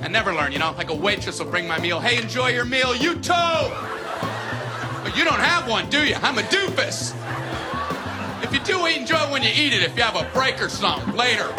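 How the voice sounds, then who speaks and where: A man's voice: extremely high pitch, lively intonation, a little fast, music in the background. A male stand-up comedian doing a rambling, self-mocking bit. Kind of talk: stand-up routine.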